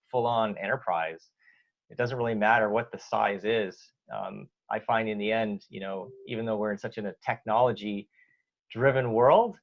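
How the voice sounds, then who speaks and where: Low-voiced Canadian man on a dull line. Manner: relaxed, lots of fillers and restarts. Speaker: guest. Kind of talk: casual interview answers. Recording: dull lo-fi audio.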